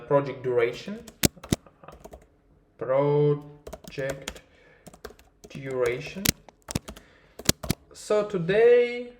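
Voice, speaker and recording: A man with a Russian accent, a native Russian speaker, teaching a screen-recorded tutorial in English. He talks haltingly, with many fillers and restarts. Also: on the high side, a little mellow, echoey room, a little hiss or hum. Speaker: marketing research instructor.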